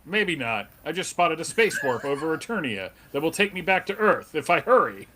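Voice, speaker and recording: A man's voice: fairly high pitch, very animated, moderate pace, pushed and bright, exaggerated voice. A man playing an earnest, noble superhero in a melodramatic comic book table read. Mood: polite, bemused.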